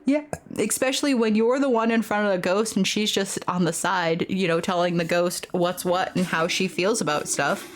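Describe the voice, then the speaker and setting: A woman's voice: lowish voice, steady tone, normal speed, few pauses. A woman hosting a video game let's play, talking casually in a candid, slangy, informal way. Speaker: gaming video host. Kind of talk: casual let's play commentary with reading aloud of game dialogue. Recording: noticeable background noise.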